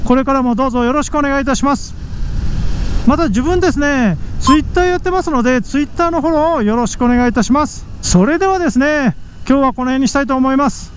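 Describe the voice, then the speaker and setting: A man's voice: very high voice, fast talker. A man giving casual, exclamatory riding commentary as a motorcycle vlogger, easily amazed and often talking to himself. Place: outdoors on a moving motorcycle. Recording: faint road and engine noise. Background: vehicle and outdoor road noise.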